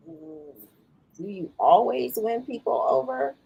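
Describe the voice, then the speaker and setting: A woman co-hosting a podcast, talking in a thoughtful, halting way with many restarts. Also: on the high side, lively intonation, gravelly, warm tone, slightly rough, lo-fi sound. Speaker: podcast co-host. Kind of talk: casual chat.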